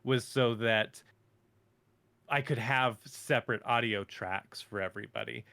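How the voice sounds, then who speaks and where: A man's voice: mid-range voice, steady tone, unhurried, creaky, bright, forceful tone. Casual man full of "like" and "uh". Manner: filler-heavy, with many "like" and "uh". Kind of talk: casual roundtable chat.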